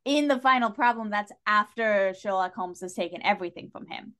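Casting: female podcast guest, informal and salty-tongued, animated delivery in casual literary chat. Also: mid-range voice, fairly quick. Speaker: guest on a book discussion podcast.

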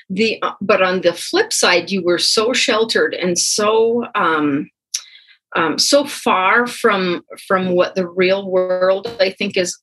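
A woman whose first language is Pennsylvania Dutch, answering interview questions hesitantly with many pauses and restarts. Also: fairly low pitch, a bit bright, husky, low-quality recording. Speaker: guest, co-author.

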